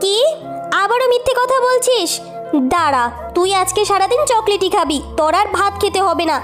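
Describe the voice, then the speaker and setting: A stern village mother from a cartoon, played by a woman, pitched extremely high. She nags and scolds with a short temper, with music clearly playing behind her. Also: lively intonation, normal speed, a bit bright. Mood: angry. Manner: scolding, nagging. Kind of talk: children's cartoon story dialogue.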